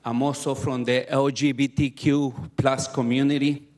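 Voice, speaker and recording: A man, giving earnest personal testimony at a community meeting with pauses between phrases. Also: medium pitch, flat delivery, a little mellow. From Mexico City. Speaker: community advocate.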